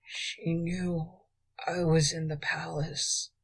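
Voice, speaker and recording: A woman voicing an exhausted, wounded young male thief who speaks in a faint, slow whisper. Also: deep voice, steady tone, husky, full character voice. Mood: weary whisper.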